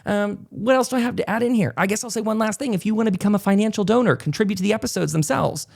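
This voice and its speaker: Man, podcast host, gravelly fry in the voice, casual unscripted delivery, chatty and informal. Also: high-pitched, rapid-fire, a little mellow.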